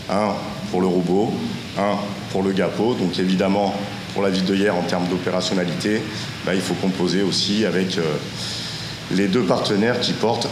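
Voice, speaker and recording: Low-voiced man presenting in an echoey room. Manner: halting, frequent hesitations and self-corrections. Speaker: local government official giving a conference presentation. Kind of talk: semi-improvised technical presentation with slides. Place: conference room at a technical seminar. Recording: echoey room, distant ambient noise.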